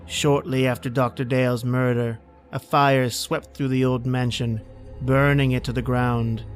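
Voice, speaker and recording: A man's voice: medium pitch, flat delivery, measured pace. A man hosting and narrating an old-time radio horror podcast in a scripted storytelling style. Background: music.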